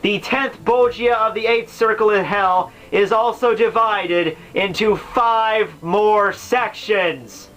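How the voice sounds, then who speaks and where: Bright-voiced man, casual rambling commentary. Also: high voice, takes it slowly, few pauses, poor audio. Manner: rambling, slangy, with exaggerated exasperation. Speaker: host of a book summary series. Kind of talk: casual unscripted explanatory commentary.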